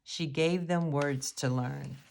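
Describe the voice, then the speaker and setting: Low-pitched woman reading a story slowly. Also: creaky, darkish tone, a little hiss or hum. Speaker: storybook reader. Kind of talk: read-aloud children's story narration.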